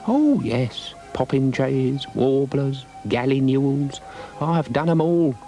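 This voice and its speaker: A man's voice: mid-range voice, expressive, slow pace, dark tone, soft background music. A man voicing a wistful, forgetful male former impressionist in a children's story, rambling with faded pride. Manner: wistful, rambling, proud of past.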